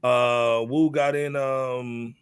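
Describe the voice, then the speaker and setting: A man's voice: mid-range voice, level tone, extremely slow, smooth, dry recording. A man hosting a casual hip hop podcast, laid-back, tagging "man" onto most phrases. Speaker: podcast host.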